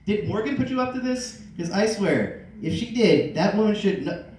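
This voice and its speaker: A man's voice: high voice, expressive, moderate pace, a little mellow, voice pushed up. A man voicing a macho, easily miffed and bewildered TV handyman in a comic story. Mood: suspicious. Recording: echoey room, distant mic, faint noise.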